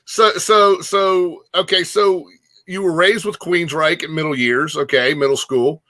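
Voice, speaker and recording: A man chatting casually as co-host of a music show. His voice is fairly high for a man, with a bright, forward edge. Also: steady tone, measured pace, no echo. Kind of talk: casual chat.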